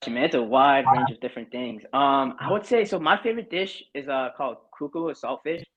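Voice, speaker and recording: A man's voice: medium pitch, brisk, highs cut off. A young man from Barbados, a podcast guest, chatting casually and loosely with lots of fillers and restarts. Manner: relaxed, rambling with many fillers. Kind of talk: casual chat.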